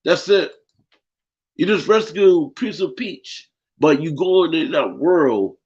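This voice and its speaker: A man with a husky, worn-out voice hosts a gaming podcast chat, his pitch swinging up and down as he presses his point. The sound is dull and lo-fi, like call audio. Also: animated, takes it slowly, darkish tone. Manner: emphatic, repeats himself, keeps asking "right?". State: losing his voice. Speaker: podcast host.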